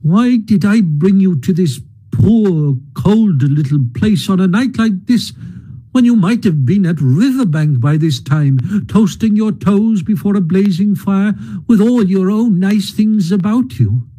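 A man's voice: high-pitched, animated, a little slow, warm tone, husky, pitched above the performer's own voice, exaggerated voice, poor audio. A man voicing a timid, gloomy male mole in a storybook reading, moaning and self-pitying. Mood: dismal.

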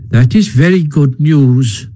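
A man's voice: on the high side, slow, mellow. A man voicing a grave, caring mole in a storybook, earnest and reassuring.

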